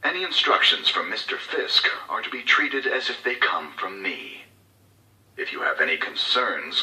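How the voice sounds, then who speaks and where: A man's voice: on the low side, pushed and bright, roomy sound, far from the mic, low-quality recording. An actor playing a cold, scheming male corporate executive who gives covert orders in formal, clipped, authoritative speech for a game audio log.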